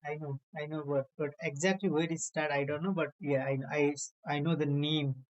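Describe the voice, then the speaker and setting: A man's voice: fairly high pitch, steady tone, moderate pace, highs cut off. Chatty man in broken English. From Nepal. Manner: chatty, simple broken English, emphatic bursts. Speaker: channel host. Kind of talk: casual video chat.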